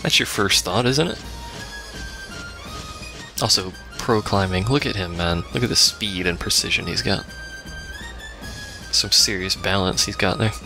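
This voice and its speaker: Wry man with creaky, lowish voice. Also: measured pace, long pauses. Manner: wry, sarcastic asides. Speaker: let's play commentator. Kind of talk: casual gameplay commentary. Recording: game music behind the voice.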